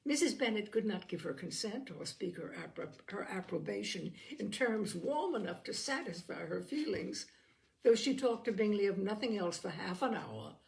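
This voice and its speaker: Female audiobook narrator for classic fiction, noticeably creaky tone, expressive delivery. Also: mid-range voice, normal speed, poor audio. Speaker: audiobook reader. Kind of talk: scripted literary narration, reading a classic novel aloud.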